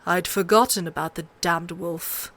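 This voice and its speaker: A woman voicing a gruff, irritable middle-aged king in an audiobook, brusque and commanding. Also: fairly low pitch, steady tone, a little slow, fairly bright tone. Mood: grumbling. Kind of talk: audiobook character voice.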